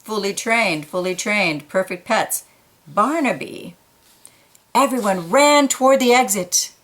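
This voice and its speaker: A woman reading a children's picture book aloud, pausing for quiet asides about the pictures. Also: mid-range voice. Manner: slow, gentle, pointing out pictures in quiet asides.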